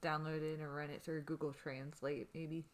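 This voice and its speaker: A woman's voice: deep voice, flat delivery, normal speed. A woman co-hosting a podcast, chatting casually with dry, laid-back teasing. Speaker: podcast co-host. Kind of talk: casual chat.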